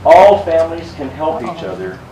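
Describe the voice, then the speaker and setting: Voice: medium pitch, big pitch swings. A storyteller reading aloud to young children in a playful way, drawing out contrasts. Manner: slow, playful, exaggerated contrasts for young listeners. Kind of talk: animated children's read-aloud. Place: roomy public library story-time space. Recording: noisy echoey room, distant mic.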